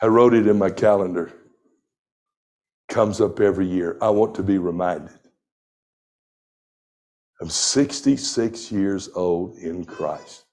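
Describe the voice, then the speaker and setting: A man in his sixties preaching a sermon, reading scripture aloud with deliberate emphasis. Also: low-pitched, a little mellow. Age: 60s. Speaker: pastor.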